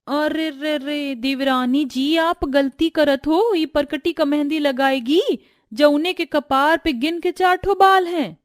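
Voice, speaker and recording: A woman acts out a humble, sweet village daughter-in-law from a family storybook. The voice is very high and rustic, with a Bhojpuri accent and an eager, deferential manner, recorded dry. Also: slow, no echo. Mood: rustic, earnest. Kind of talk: Hindi family storybook voice acting.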